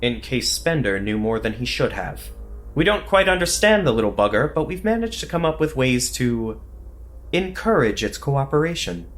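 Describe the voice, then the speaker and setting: A man playing a cocky, foul-mouthed covert government agent in a horror audio drama, wisecracking and excitable. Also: medium pitch, normal speed, close to an everyday voice. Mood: wry, conspiratorial.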